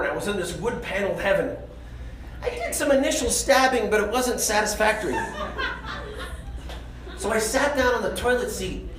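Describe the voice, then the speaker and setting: A man telling a comic, self-deprecating story on stage in an animated, conversational way. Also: sits high. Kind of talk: comedic stage storytelling to an audience. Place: live stage venue. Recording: echoey stage show, audible room noise.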